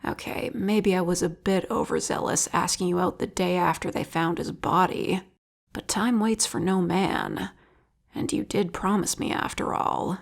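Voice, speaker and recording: A woman playing an obsessive, lovesick female vigilante killer in an intimate audio roleplay, with a whispery, gravelly voice and a slow, taunting manner. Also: on the low side, steady tone. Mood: tender, possessive.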